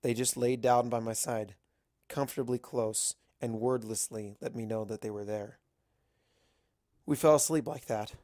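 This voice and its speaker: A man reading a first-person story aloud as an expressive narrator. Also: mid-range voice, moderate pace, dry recording, studio quality. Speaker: narrator of fan fiction.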